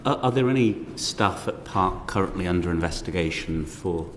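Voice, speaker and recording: Low male committee questioner, polite and measured, reverberant hall sound. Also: animated. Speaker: committee member questioning witnesses. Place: parliamentary committee room. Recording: reverberant hall with faint noise.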